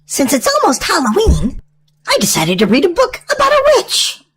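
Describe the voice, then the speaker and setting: A woman reading a storybook aloud to young children in an animated, sing-song way. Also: high-pitched, moderate pace. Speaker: children's storytime host and reader.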